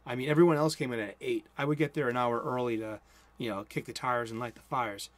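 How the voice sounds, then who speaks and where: A man's voice: mid-range voice, fast. Boston guy in his 40s doing a casual sermon at his desk, rambles, mumbles, trips over his own sentences. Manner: rambling, self-interrupting, mumbles and speeds up when excited. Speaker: host. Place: cluttered home room, indoors.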